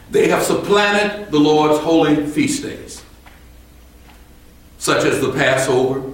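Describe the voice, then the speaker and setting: A man preaching a sermon in a temple, his voice a little strained, speaking slowly and deliberately and rising to emphatic points. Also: fairly high pitch. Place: temple sanctuary. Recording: echoey hall from far off.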